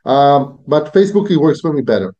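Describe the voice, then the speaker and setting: A man's voice: fairly high pitch, big pitch swings, a little fast, dark tone. Hesitant man on a muffled call. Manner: hesitant, repeats words, stumbling phrasing. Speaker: client interviewing freelancers. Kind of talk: business call.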